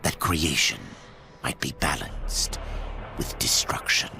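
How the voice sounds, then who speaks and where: A man giving a hushed, portentous narration for a game cutscene. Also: extremely low pitch, lively intonation, takes it slowly, creaky, very noisy, exaggerated voice. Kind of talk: scripted cinematic narration. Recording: game cutscene with loud music.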